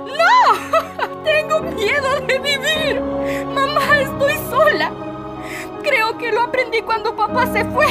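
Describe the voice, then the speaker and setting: An anguished young woman with a Latin American accent, acted by a woman in a fan dub, speaks in a very high voice over music. She delivers dramatic spoken-word poetry addressed to her mother. Her manner is emotive and pleading, with dramatic pauses. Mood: desperate, crying out.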